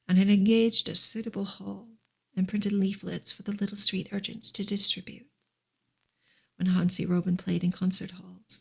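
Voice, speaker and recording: A woman reading a book aloud as soft ASMR, breathy and often close to a whisper, with gentle, careful narration. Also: mid-range voice. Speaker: reader, ASMR creator.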